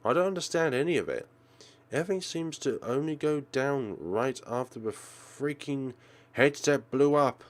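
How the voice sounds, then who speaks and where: A man's voice: medium pitch, expressive, moderate pace, pitched above the performer's own voice, close to an everyday voice. A man voicing a frightened, indignant teenage schoolgirl in a visual novel, exclaiming and pleading. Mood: upset, bewildered.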